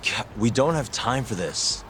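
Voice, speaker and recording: Deep-voiced, wry detective hero. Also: male voice, animated, a bit bright, slight background noise. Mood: exasperated. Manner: dry, measured, wry. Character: cool, wry lawyer-detective hero, calm and principled. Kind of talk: video game cutscene acting.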